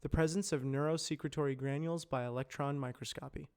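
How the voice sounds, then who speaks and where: A man with a somewhat high voice narrates scripted explainer copy. He speaks in a measured way and enunciates each term clearly, in the manner of an educational or corporate voice-over. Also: fairly even intonation, darkish tone. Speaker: amateur voice actor practicing narration.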